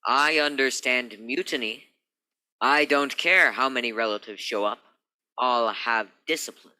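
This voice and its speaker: A man's voice: mid-range voice, a little slow, sharp tone, clean tone, no echo, rough recording. A man acting a stern, logical spaceship captain in a radio play, commanding and insistent. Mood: angry, commanding. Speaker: actor in a student radio play.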